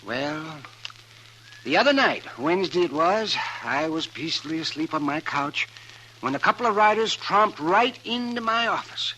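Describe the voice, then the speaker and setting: Crusty old frontier doctor, noisy recording. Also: male voice, fairly high pitch, expressive, moderate pace, pushed and bright. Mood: weary recounting. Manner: folksy, emphatic storytelling with rural grammar. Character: crusty, principled frontier town doctor, older man. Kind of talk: old-time radio western acting. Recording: noisy vintage radio recording.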